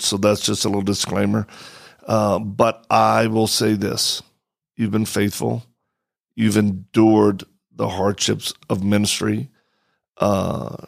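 Gravelly, blunt-talking male podcast host. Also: lowish voice, no room sound, studio quality. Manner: joking, blunt and direct. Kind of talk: casual interview chat. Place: studio.

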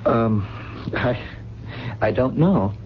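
An actor playing a gentle, refined man who is lost and uncertain, speaking politely and hesitantly. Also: medium pitch, animated, extremely slow. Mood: forlorn, uncertain. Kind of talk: radio drama acting. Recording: dull, noisy old radio broadcast.

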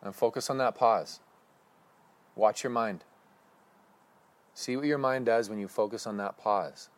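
A man leading a guided breathing meditation with slow, calm, instructive cues. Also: on the low side.

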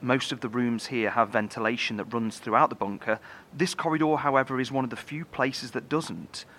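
A male engineer of a survivor bunker played by an actor, mid-pitched and sharp-toned. He is grave and cautious, explaining in a halting, solemn manner for a horror audio drama. A faint rumbling noise sits behind him. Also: steady tone, natural voice. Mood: grave, analytical. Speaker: lead engineer.

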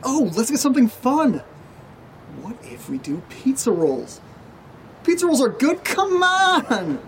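A man's voice: extremely high pitch, huge pitch swings, unhurried, a little hiss or hum, heavily acted. A man playing an eerily upbeat, childlike housemate in a naturalistic audio drama, breezy and insistent. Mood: excited. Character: eerily upbeat, childlike male housemate, pushy and oddly oblivious.

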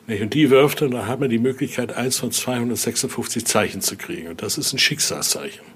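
A man giving an informal, halting explanation as a guide, often ending phrases with little questioning tags. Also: mid-range voice, fairly bright tone, husky. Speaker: interviewee, exhibit guide and Voodoo expert. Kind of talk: informal spoken explanation during a guided tour.

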